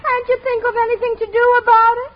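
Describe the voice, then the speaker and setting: Sweet, dramatic girlfriend, 1940s radio comedy. Very high, gushy voice, muffled vintage sound. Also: level tone, fast, slight background noise. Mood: coy. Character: sweet gushing, romantic, easily exasperated young girlfriend. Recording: dull 1940s radio broadcast.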